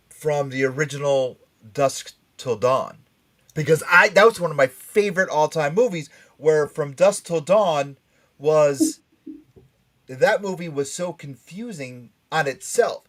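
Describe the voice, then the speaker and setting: Rambling, emphatic man hosting a chat show. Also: fairly high pitch. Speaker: radio show host. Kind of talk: casual pop-culture panel chat.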